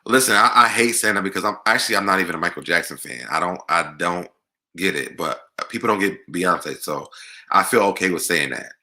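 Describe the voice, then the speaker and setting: An American man with a deep voice hosting a casual music Q&A, talking conversationally and repeating words for emphasis. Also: brisk, sharp tone. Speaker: online show host. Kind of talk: casual live Q&A chat about music.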